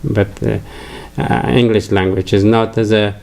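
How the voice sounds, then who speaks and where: An older man from Afghanistan with an Afghan accent, a native Farsi speaker, giving a halting religious lecture full of fillers and restarts. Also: on the low side, fairly even intonation, vocal fry, a little mellow. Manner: hesitant, many fillers and restarts.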